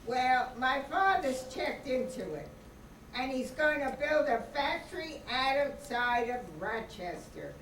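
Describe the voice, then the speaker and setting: A man's voice: very high voice, expressive, slow, fairly bright tone, exaggerated voice. A man playing a brash, teasing wealthy old pal in an amateur old-time radio play. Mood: breezy, teasing. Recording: echoey distant room, faint noise.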